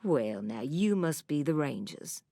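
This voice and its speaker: Gravelly, low-voiced frontier sheriff. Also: female voice, measured pace, no echo, heavily acted. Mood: confident, welcoming. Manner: relaxed, folksy authority. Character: plain-spoken, self-assured female frontier sheriff. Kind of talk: video game character acting.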